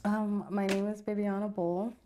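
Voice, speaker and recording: Soft dark-toned woman giving testimony. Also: mid-range voice, little pitch movement, moderate pace, slight background noise. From South Sudan. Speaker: interview guest telling her life story. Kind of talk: personal testimony.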